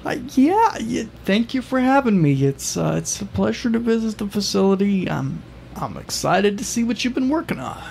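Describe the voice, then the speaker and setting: Creaky-voiced earnest reporter, acted by a man. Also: sits high, sing-song, normal speed, a little mellow, slight background noise, heavily acted. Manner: stammering when addressing people. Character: earnest, flustered male field journalist reporting on a hospital. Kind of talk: comedic audio drama, mock news report.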